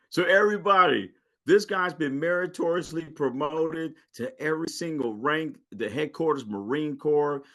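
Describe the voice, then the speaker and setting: A man hosting a podcast in a casual interview, telling stories in a lively, joking way. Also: medium pitch. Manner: lively, joking, animated storytelling. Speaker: podcast host.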